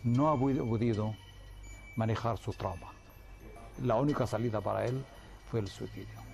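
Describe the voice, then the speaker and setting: A man answering an interview in an earnest way, with some halting restarts. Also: on the low side, vocal fry, over music.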